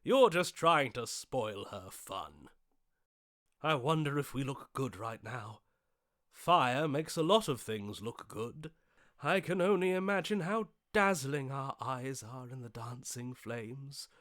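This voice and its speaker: An actor voicing a grandiose, lovesick romantic hero in a video game, with florid, theatrical, archaic declamation. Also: male voice, medium pitch, animated, takes it slowly, fairly bright tone, no room sound, studio quality. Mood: dreamy devotion.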